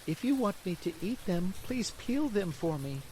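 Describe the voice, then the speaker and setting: A man putting on a high-pitched, whining wooden puppet boy who swings wildly up and down in pitch as he pleads and fusses. He is hungry, fussy and excitable, a storybook figure. Faint rain patters behind him. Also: normal speed, slight background noise, poor audio. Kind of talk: storybook reading.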